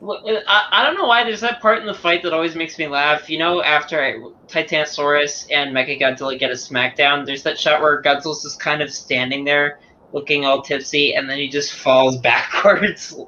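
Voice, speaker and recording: A man on a podcast chatting as an animated, laughing fan. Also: on the high side, normal speed, a little hiss or hum. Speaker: podcast guest. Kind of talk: casual podcast chat. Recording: dull lo-fi audio.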